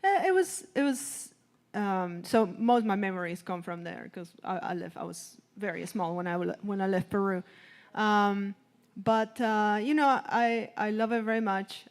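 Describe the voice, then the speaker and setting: A woman musician from Argentina, a guest on a live radio interview. She talks in a halting, thoughtful way with many ums. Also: mid-range voice.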